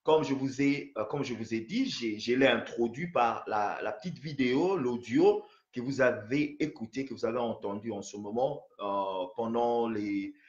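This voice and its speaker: A man's voice: medium pitch, moderate pace, hardly any pauses. A man hosting a phone interview, talking with many hesitations and restarts. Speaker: host. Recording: roomy, dull lo-fi sound.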